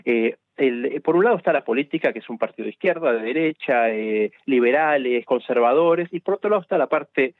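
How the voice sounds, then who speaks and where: A man from Buenos Aires with an Argentine accent, giving explanatory interview answers in a halting way, repeating words as he builds his point. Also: mid-range voice, lively intonation, no room sound. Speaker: interview guest, rabbi and journalist. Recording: dull, lo-fi radio line.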